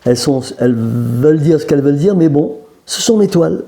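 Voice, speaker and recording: A man's voice: a little high, expressive, a little fast, gravelly, mellow. A man from Algeria, a painter, chatting in an interview in a gallery, with many hesitations and joking asides. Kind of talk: casual interview. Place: art gallery room.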